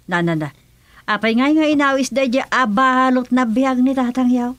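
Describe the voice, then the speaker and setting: Radio comedy role: inquisitive, grumbling Ilocano housewife, fairly high female voice. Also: moderate pace, fairly bright tone, no echo. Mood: suspicious. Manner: questioning, complaining. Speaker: actress in a radio comedy serial. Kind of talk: Filipino radio comedy drama acting.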